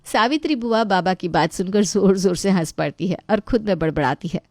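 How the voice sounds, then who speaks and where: A woman's voice: medium pitch, expressive, brisk, no echo. A woman narrating a scripted Hindi story as the storyteller.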